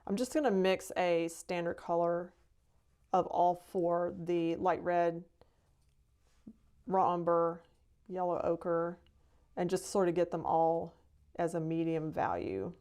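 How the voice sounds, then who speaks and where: A woman's voice: fairly low pitch, slow, pauses often, mellow, smooth. A middle-aged woman painter hosting a casual live stream, talking in a chatty, rambling way. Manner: chatty, rambling, with laughs and fillers. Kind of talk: casual painting demo chat.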